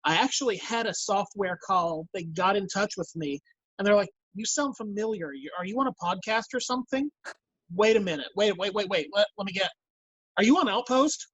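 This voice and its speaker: A husky-voiced woman talks casually and animatedly on an actors' panel. The sound is dry and a little dull, like lo-fi call audio. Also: medium pitch, fairly quick, no room sound.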